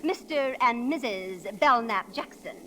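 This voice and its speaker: An affected, social-climbing wife in vintage radio comedy. Her high voice is bright and swings widely with fussy gentility. Light noise sits on the old recording. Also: lively intonation, unhurried. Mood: proud, genteel. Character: pretentious social-climbing small-town society wife. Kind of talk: 1930s radio comedy acting. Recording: noisy vintage radio broadcast.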